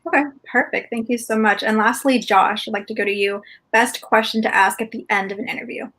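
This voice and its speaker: A woman's voice: on the high side, fairly even intonation, a little fast, fairly bright tone. A woman moderating a webinar panel over a video call, upbeat and encouraging as she passes questions to each speaker.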